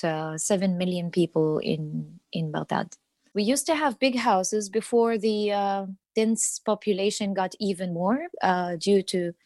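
A woman's voice: medium pitch, a little mellow, dry recording. A woman, a diplomat giving hesitant, friendly answers in an interview, full of fillers and restarts. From Iraq. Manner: hesitant, many fillers and restarts, laughs lightly. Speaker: guest, diplomat.

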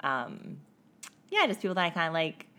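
A woman co-hosting a podcast, chatting casually with a voice raspy from a cough, laughing often as she tells stories. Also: lowish voice, wildly swooping pitch, moderate pace, pushed and bright. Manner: laughs often, loose storytelling with many fillers. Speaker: podcast co-host. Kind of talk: casual chat.

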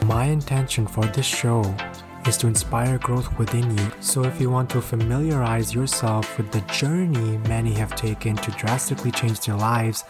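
A man reading a scripted, motivational podcast intro in a calm, measured way. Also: mid-range voice. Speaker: podcast host. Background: music.

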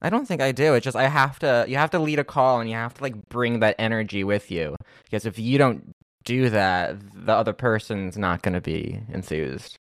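A man hosting a casual podcast interview, playful, with quick bursts of energy. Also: on the low side, no room sound, clean studio sound. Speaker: podcast host.

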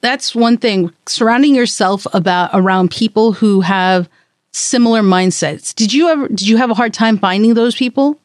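A woman hosting an interview, asking questions and giving steady affirming murmurs while her guest talks. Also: mid-range voice, normal speed, no echo, clean studio sound. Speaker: interview host. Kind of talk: interview conversation.

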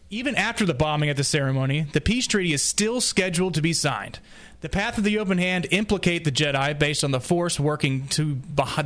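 Higher-pitched man reading a prepared summary. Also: a bit bright. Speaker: podcast guest presenter.

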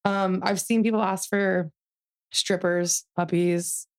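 Young woman, vocal fry, gravelly tone, rambling casual podcast guest. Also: fairly low pitch, steady tone, takes it slowly. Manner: rambling, heavy on fillers. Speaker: podcast guest, event production worker. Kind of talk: casual chat.

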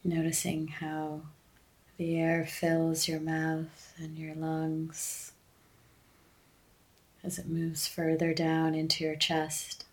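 A woman leading a guided breathing meditation, giving gentle instructions with long pauses. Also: deep voice, completely flat delivery, low-quality recording.

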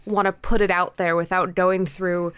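A woman's voice: on the low side, level tone, normal speed, fairly bright tone, clear, resonant tone, no echo. A woman speaking casually and frankly as a guest creator in a podcast interview.

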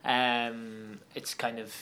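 Young Geordie man with a flat voice. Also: mid-range voice, extremely slow. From Newcastle upon Tyne, England. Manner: rambling, lots of fillers and restarts. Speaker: guest athlete. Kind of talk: casual interview chat.

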